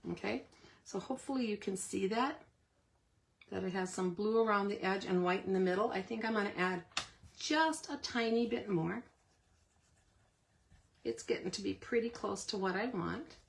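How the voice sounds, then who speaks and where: A woman with a slightly hoarse voice who keeps clearing her throat, giving a chatty step-by-step live card-making demonstration. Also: mid-range voice, fairly bright tone. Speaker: craft demonstrator.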